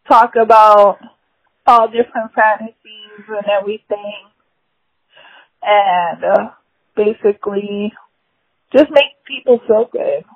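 A woman's voice: mid-range voice, slightly rough, dull audio. A woman answering interview questions casually, with shy little laughs. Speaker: guest.

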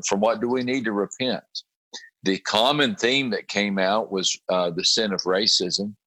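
A man, a pastor, with a raspy voice after a late night, talking in halting conversation, often repeating words and clearing his throat. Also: on the low side, fairly even intonation, sharp tone, dry recording. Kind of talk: conversational interview about a prayer group.

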